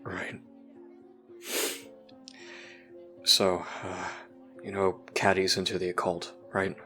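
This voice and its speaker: A man voices a troubled, self-blaming teenager confiding in a friend in an audio roleplay. The voice is very low yet bright and tense, with halting, confessional phrasing that trails off. Faint music plays underneath. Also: monotone. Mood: hushed, regretful.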